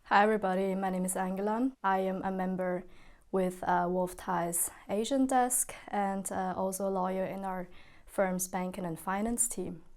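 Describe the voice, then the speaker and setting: A woman's voice: mid-range voice, fairly even intonation, moderate pace, a little mellow. A woman from China speaking as a podcast guest, explaining things in a conversational way. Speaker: podcast guest, banking and finance lawyer.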